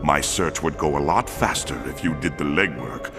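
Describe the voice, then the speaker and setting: A four-armed alien officer, speaks in a very low, commanding tone, formal and measured. His pitch swings lively for all its depth. Game music plays clearly underneath. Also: in a large hall. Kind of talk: video game cutscene acting.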